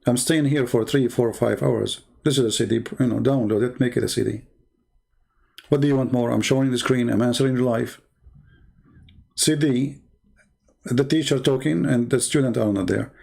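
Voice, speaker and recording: A man's voice: medium pitch, moderate pace, creaky, pauses often, dark tone. A middle-aged man from the Middle East whose first language is Arabic, hosting a live-stream lecture in an emphatic, repetitive way and throwing rhetorical questions at his viewers.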